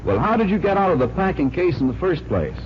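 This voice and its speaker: A man's voice: fairly high pitch, big pitch swings, fast. An American man playing a curious, good-natured friend who asks eager questions, in 1940s radio drama style. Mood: good-humored. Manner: inquisitive, good-humored. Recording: muffled, hissy 1940s radio recording.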